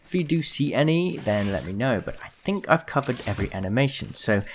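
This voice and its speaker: A man's voice: medium pitch, very animated, a little fast, a little hiss or hum. A man giving casual, explanatory commentary as the creator of a gaming video.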